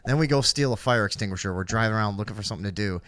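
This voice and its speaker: A man's voice: fairly low pitch, rapid-fire, a bit bright, no echo, clean studio sound. A man co-hosting a talk show, telling comedic stories casually with plenty of swearing and slang. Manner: profane, slangy.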